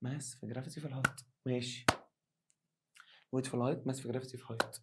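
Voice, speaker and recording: A male science teacher with an Egyptian Arabic accent, hoarse, giving an animated exam revision lesson and acting out students' questions. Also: mid-range voice, rapid-fire, background noise.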